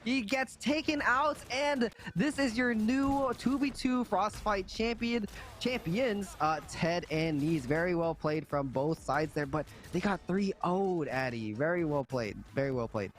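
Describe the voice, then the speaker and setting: A man's voice: high voice, unhurried. A man commentating an esports tournament on a livestream in a casual, appreciative way, often repeating his phrases. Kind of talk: casual live game commentary and sign-off.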